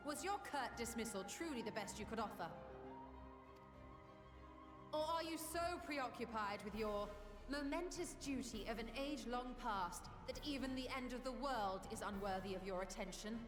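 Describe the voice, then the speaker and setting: A woman's voice: on the high side, moderate pace, close to an everyday voice. Woman acting a proud young noblewoman in echoing hall. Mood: indignant. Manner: formal, pointed, eloquent. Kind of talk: video game cutscene acting. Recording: echoey hall reverb, music underneath.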